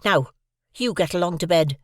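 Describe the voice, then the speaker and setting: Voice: medium pitch, big pitch swings, unhurried, no echo, clean studio sound, voice pushed up. A reader putting on the voice of a harsh, snappish older sister who scolds a boy in an audiobook. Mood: scolding. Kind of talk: audiobook character voice.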